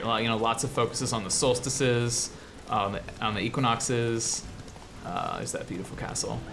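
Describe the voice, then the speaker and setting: A man's voice: lowish voice, vocal fry, background noise. An American man from the Midwest hosting casual game commentary, rambling and explanatory with an enthusiastic manner. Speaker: show host, 3D artist.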